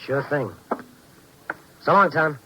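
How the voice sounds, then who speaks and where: An American actor playing a steady, sympathetic newspaper reporter in a 1950s radio drama, talking and narrating in a wry, slangy way. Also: male voice, on the high side, takes it slowly, a little hiss or hum, exaggerated voice, poor audio. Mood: concerned.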